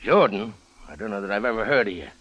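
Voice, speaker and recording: Gruff, forceful radio tough guy. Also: lowish voice, expressive, fast talker, highs cut off. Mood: impatient, surly. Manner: rough slangy speech, brusque. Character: gruff, impatient, blustering male tough guy. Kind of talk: old-time radio drama acting.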